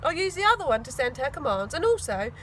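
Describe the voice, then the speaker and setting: A woman's voice: sits high, sing-song, quick pace, a bit bright, slight background noise. A woman voicing a scheming, easily irritated young boy in a storybook, emphatic and self-important. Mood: proudly scheming.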